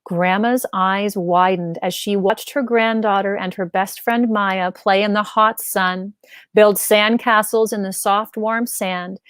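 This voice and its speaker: Lady reading a kids story aloud, expressive, medium pitch, no echo at all. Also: clear, resonant tone, clean studio sound. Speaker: read-aloud narrator.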